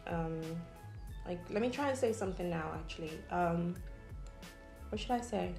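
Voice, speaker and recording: A woman's voice: fairly low pitch, moderate pace, creaky, a little mellow, reverberant, soft background music. A young woman from Nigeria chatting casually while she eats, with plenty of ums. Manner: chatty, eating between lines, many ums. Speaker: food vlogger. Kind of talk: casual mukbang chat.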